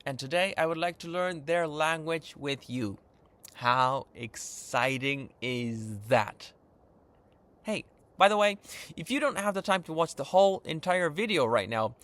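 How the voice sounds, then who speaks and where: Host, man, 30s, Japanese accent; upbeat, animated delivery, voice on the higher side. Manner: eager, earnest, upbeat. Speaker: channel host. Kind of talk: enthusiastic vlog-style lesson intro.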